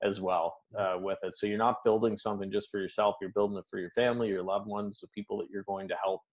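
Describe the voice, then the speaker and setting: Easygoing Canadian man, lowish voice. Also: fairly even intonation, quick pace. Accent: Canadian, Maritime. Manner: conversational, upbeat, laughs lightly, uses folksy sayings. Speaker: business coach, guest. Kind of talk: casual interview answers.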